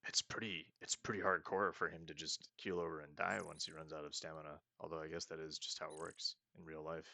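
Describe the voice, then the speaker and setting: A man's voice: very deep voice, flat delivery, fairly quick, gravelly, bright, forceful tone, a little hiss or hum. A young man casually commenting on a roleplaying game as he plays, thinking aloud and joking at his own mistakes. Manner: thinking aloud, chatty and self-mocking. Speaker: let's-play host.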